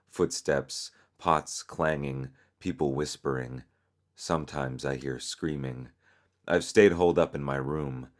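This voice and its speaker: A man reading scripted story narration as a narrator. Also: very deep voice.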